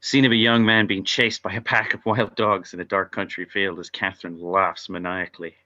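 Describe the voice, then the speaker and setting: A man reads out stage directions as narrator of a script read-through. His voice is fairly low yet forceful and bright, captured in slightly dull, lo-fi sound. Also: flat delivery, normal speed.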